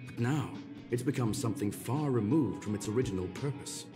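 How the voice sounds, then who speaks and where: An actor playing a courteous, rational robot scholar in a video game, calm and regretful, with formal, measured, precise diction. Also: male voice, mid-range voice, over music, close to an everyday voice. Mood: firm, questioning.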